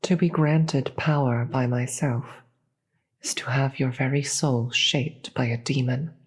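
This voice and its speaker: Slow, breathy demon queen, played by a voice actor. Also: mid-range voice, fairly even intonation. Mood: measured, grave. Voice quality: breathy, often dropping into whisper. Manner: slow, formal, measured, grave. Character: regal demon queen, solemn, seductive, persuasive victor. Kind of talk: scripted fantasy audio roleplay monologue.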